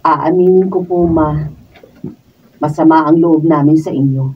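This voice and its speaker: A woman's voice: low-pitched, steady tone, a little slow. A woman playing a polite but frank daughter-in-law in a radio drama, with a Filipino accent. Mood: hurt, earnest. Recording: distant, muffled, noisy room recording.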